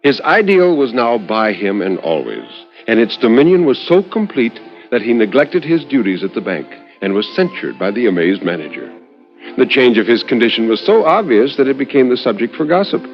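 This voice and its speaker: A man narrates in the style of a 1950s radio storyteller, on a dull vintage recording. His pitch moves widely and expressively through measured literary phrasing. He lingers on words and leaves dramatic pauses. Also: sing-song, soft background music. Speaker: narrator reading a short story on a radio horror anthology.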